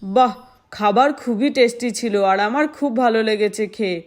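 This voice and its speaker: A woman's voice: fairly high pitch, normal speed, lightly acted. A woman voicing a hungry, kindly boy god in a doll story, with an eager, earnest, childlike manner.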